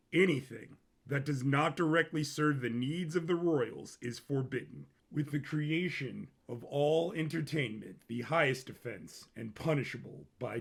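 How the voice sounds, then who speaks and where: Creaky-voiced male story narrator. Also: medium pitch, fairly bright tone. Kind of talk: scripted dramatic story narration.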